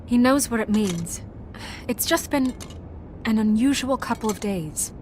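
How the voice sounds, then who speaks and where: A woman playing a stern but caring leader in a video game, reserved and proper, with measured, careful delivery. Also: on the high side, animated, darkish tone, slight background noise. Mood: concerned.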